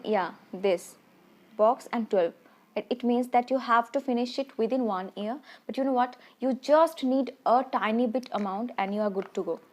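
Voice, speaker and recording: Beauty vlogger, woman, slightly high voice, chatty and rambling, a bit shy. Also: darkish tone. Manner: rambling, full of "I mean" and "like" restarts; self-conscious, a little shy. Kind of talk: casual product review.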